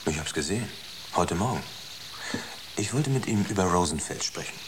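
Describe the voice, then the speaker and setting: A man's voice: sits low, lively intonation, normal speed. A man playing a puzzled, sceptical spaceship scientist in a naturalistic radio drama, talking in matter-of-fact, clipped phrases. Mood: concerned, insistent. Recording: noisy radio drama recording.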